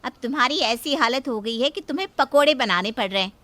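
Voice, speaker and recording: Woman playing high, sharp, spoiled young woman. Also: expressive, fast talker, no echo. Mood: scornful. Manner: sharp, scornful, demanding. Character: spoiled, haughty young woman, quick-tempered and scornful. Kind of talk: Hindi cartoon moral-story voice acting.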